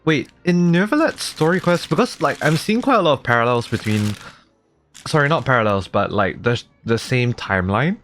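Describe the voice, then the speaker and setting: A man's voice: medium pitch, lively intonation, normal speed, fairly bright tone, soft background music. A man streaming a game with casual, reactive, rambling commentary. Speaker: gaming streamer.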